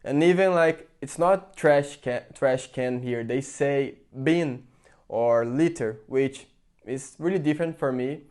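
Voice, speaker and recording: A man's voice: mid-range voice, slow, a little mellow. A man from Brazil with a Brazilian Portuguese accent, speaking English in a chatty, rambling opinion vlog full of fillers and restarts. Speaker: vlogger. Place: in England.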